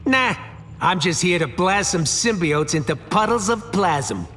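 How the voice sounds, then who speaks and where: A man voicing a gruff, trigger-happy space creature in a video game, sarcastic and needling. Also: high voice, lively intonation, a little slow, a bit bright, faint music behind. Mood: cocky. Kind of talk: video game character acting.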